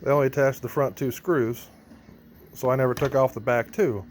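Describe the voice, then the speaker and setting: Male DIY vlogger, medium pitch, off-the-cuff working narration, noticeable ambient noise. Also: steady tone, a little fast, darkish tone. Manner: informal, thinking aloud while working. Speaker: band member showing a PA rack rebuild. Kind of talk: casual hands-on vlog narration.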